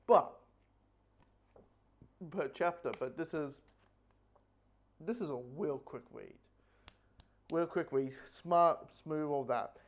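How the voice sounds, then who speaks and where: A man's voice: on the high side, slow, pauses often. A man giving a casual spoken comic book review, rambling and repeating phrases as he goes. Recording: dull, lo-fi audio.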